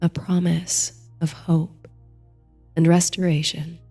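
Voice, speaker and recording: Soft, very slow woman narrating sleep stories. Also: on the low side, flat delivery. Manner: soothing, gentle, hushed, unhurried delivery. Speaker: host and narrator of a sleep story series. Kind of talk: guided meditation bedtime story, scripted narration. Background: soft music.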